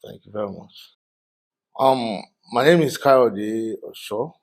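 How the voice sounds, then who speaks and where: Older man, Nigerian accent, podcast guest, halting with fillers, studio talk. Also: fairly low pitch, vocal fry. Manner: halting, with frequent fillers and restarts. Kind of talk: casual interview talk.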